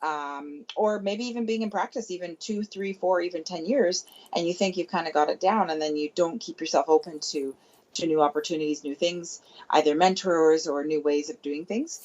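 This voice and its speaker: A woman's voice: fairly low pitch, moderate pace, darkish tone. Cheerful Canadian woman on a call. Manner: cheerful, laughs easily. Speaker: chiropractor guest. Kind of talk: casual interview chat. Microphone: remote call. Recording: dull, lo-fi call audio.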